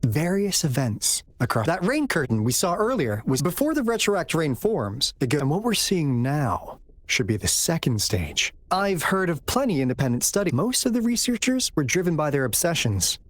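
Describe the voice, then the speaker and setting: A performer voicing a wry, knowledgeable explorer in a video game, with musing, expressive delivery. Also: medium pitch, moderate pace, no room sound. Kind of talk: English video game voice acting.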